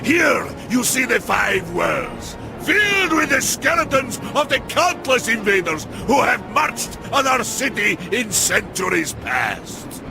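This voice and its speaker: Grandiose, very slow villainous captain. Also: male voice, extremely high pitch, big pitch swings, vocal fry, shouting, over music, full character voice. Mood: menacing, booming. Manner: grandiose, declamatory. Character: proud, menacing guard captain, theatrical villain. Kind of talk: dramatic video game acting.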